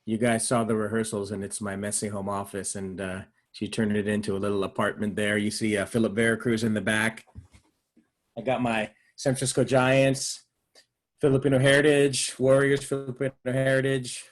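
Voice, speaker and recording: A man's voice: lowish voice, flat delivery, normal speed. A man speaking casually on an online theatre panel from his home office. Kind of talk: casual talk. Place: home office, on a video call.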